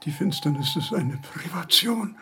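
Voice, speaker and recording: An actor playing a frail, confused, very old philosophy professor in a radio drama, speaking haltingly in broken, repeated phrases. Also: male voice, on the high side, lively intonation, background noise. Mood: confused, agitated. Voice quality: creaky, frail. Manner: halting, repetitive, fragmentary phrasing.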